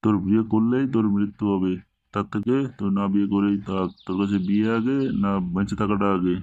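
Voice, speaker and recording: A man's voice: lowish voice, steady tone, fast talker, a little mellow, close to an everyday voice. A man voicing a domineering, scolding village tantric holy man in a Bengali comedy cartoon, with a preachy manner. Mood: stern, knowing. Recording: dull lo-fi, very dry cartoon dub.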